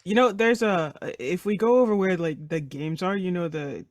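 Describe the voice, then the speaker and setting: A woman's voice: fairly low pitch, moderate pace, darkish tone, no room sound, clean studio sound. A woman playing a tabletop game, chatting casually in tentative, rambling phrases as she improvises. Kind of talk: casual improvised roleplay chat.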